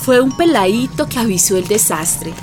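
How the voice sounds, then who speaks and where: An actress with a Colombian accent playing a folksy neighbour who narrates barrio memories in a radio drama, colloquial and unhurried. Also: female voice, fairly high pitch, animated, over music, close to an everyday voice. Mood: grave.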